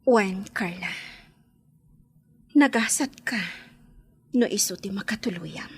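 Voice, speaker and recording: An actress playing a hurt, restrained young woman in an Ilocano radio drama, speaking guardedly with an Ilocano accent and breathy line endings. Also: mid-range voice, slow, dry recording, heavily acted. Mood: resigned. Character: Estela, hurt but restrained young woman, jilted rival.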